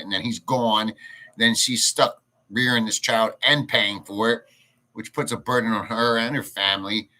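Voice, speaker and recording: A man's voice: fairly low pitch, pushed and bright, rough recording. A man hosting a casual livestream, ranting bluntly and crudely in a rambling way and answering chat. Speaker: livestream host. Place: home in a rural province.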